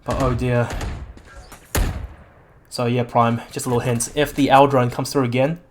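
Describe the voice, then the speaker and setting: A man working as an esports caster and interviewer, with a slightly croaky voice and an excitable way of talking. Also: mid-range voice, moderate pace, slight background noise. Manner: excitable, exclaiming at big plays. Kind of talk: live game commentary and post-match interview.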